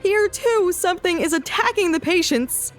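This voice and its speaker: A woman's voice: extremely high pitch, animated, normal speed. A woman playing an anguished, determined young female healer in an audio drama, urgent and dramatic. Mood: alarmed. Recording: music under the voice.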